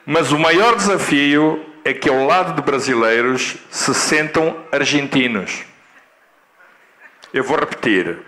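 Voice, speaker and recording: Man, middle-aged, European Portuguese accent, preaching, slow and deliberate, fairly high bright voice, repeats for emphasis. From Angola. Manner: slow, deliberate, repeats key phrases, rising to emphatic peaks. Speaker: guest pastor. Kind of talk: sermon. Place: church hall.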